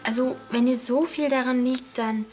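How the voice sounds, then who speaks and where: A woman's voice: high-pitched, steady tone, moderate pace, darkish tone, soft background music, lightly acted. A young actress playing a hesitant, conciliatory teenage schoolgirl with a crush in a TV drama. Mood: hesitant, earnest. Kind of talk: scripted TV drama dialogue.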